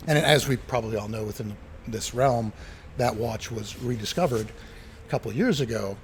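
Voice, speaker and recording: A man's voice: medium pitch, normal speed, creaky, slight background noise. A middle-aged man in casual conversation, telling anecdotes enthusiastically and acting out the dialogue he quotes. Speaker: interview guest, retired watch collector.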